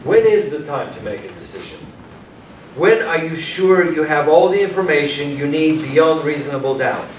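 A man's voice: a little high, expressive, moderate pace, natural voice. Man acting an earnest young detective, distant and roomy. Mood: pleading, searching. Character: young male detective, earnest, doubting, immigrant family. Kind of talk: staged play reading, theatrical acting. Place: small indoor performance room with audience. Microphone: far camera. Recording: roomy, distant mic, faint noise.

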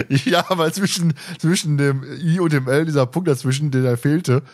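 A man's voice: fairly high pitch, normal speed, darkish tone. A man hosting a podcast, chatting casually in a playful, teasing, jokey way. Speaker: podcast host.

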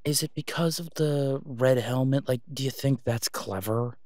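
A man's voice: medium pitch, moderate pace, a little mellow, dry recording, natural voice. A man playing a brash, sarcastic masked vigilante with dry, taunting banter in an audio roleplay. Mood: taunting, confident.

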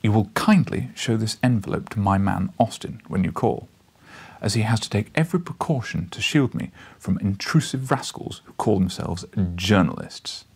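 A man performs an overbearing professor from a storybook. He is haughty and scornful, biting off deliberate phrases in a low, gravelly, husky voice. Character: pompous, scornful, overbearing male professor writing a letter.

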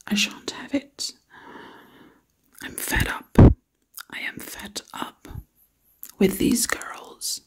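A woman performs ASMR roleplay almost entirely in a whisper. Her voice is extremely breathy and husky, and she takes her time, circling back to repeat the same words again and again. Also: medium pitch, pushed and bright. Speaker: ASMR video creator.

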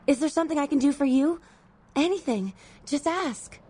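An actress playing a devoted, naive young college student in a video game, speaking in a hesitant, pleading way. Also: female voice, high voice, normal speed, dark tone, a little hiss or hum, lightly acted. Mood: eager, adoring. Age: young adult.

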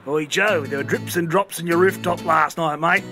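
A woman's voice: fairly low pitch, moderate pace, over music, close to an everyday voice. A woman voicing a cheery young male Australian backpacker in a homemade stop-motion cartoon, slangy and laid-back. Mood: easygoing, matey.

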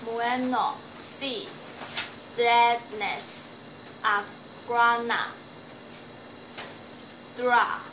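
A Taiwanese woman in her twenties, a native Chinese speaker and language student, answering questions slowly and carefully in a home video from a small bedroom. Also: a little high, fairly even intonation, bright, forceful tone, roomy sound, slight background noise, rough recording. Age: 20s. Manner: slow, careful learner's speech with halting restarts. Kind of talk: self-recorded homework video interview.

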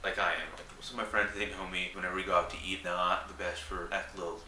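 A young man vlogging casually to camera, a little raspy from a cold, rambling with many fillers in a small room at night. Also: low voice, level tone, quick pace, sharp tone. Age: young adult. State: recovering from a cold, slightly raspy. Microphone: camera a few feet away. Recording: roomy, distant mic, faint buzzing hum.

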